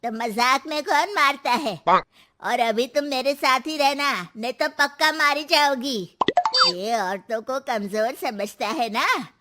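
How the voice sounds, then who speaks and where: Slow, high-pitched, bossy old mother-in-law, acted by a woman. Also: bright, forceful tone, slight background noise, exaggerated voice. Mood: fierce, defiant. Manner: scolding, sarcastic, unhurried. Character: bossy, fearless, teasing elderly Indian mother-in-law. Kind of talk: comic Hindi animated story acting.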